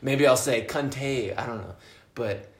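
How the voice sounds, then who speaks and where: A man hosting a casual drunken podcast chat, loose and profane in an animated way. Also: medium pitch, unhurried. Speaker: podcast host. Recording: echoey room.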